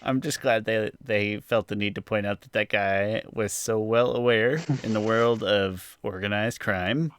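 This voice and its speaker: A man with a smooth, clear voice that sits slightly low. He speaks conversationally, as a co-host chatting on a podcast. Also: fairly even intonation, unhurried, hardly any pauses, fairly bright tone, no echo. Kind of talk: casual podcast chat.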